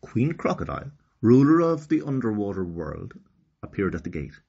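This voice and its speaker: A man narrating a children's story as an expressive storyteller. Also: on the low side, moderate pace, creaky, husky, lo-fi sound.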